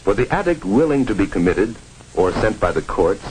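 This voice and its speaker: A man's voice: fairly low pitch, very animated, normal speed, poor audio. An American man reading a scripted 1950s educational film narration in a grave, formal, emphatic manner. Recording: old 1950s film soundtrack. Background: music.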